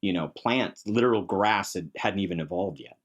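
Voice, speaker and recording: A man's voice: sits low, moderate pace. A man talking casually and earnestly in a podcast discussion about games, with emphatic delivery. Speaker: podcast panelist.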